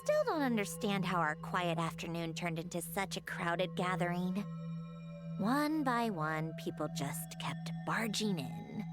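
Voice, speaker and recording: A woman's voice: on the low side, animated, a little slow. A woman voicing a prickly, world-weary young female video game character with a dry, curt manner hiding wistfulness. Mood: annoyed, wistful. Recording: clear music behind the voice. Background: game music.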